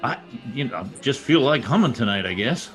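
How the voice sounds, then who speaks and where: An actor plays a bashful suitor in an old-time radio romantic comedy. His medium-pitched voice moves about animatedly, with a halting, folksy delivery that keeps stumbling over itself. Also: animated, soft background music, lightly acted. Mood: breezy, jovial. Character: bashful, folksy newspaper reporter courting his sweetheart. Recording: video-call radio play recording.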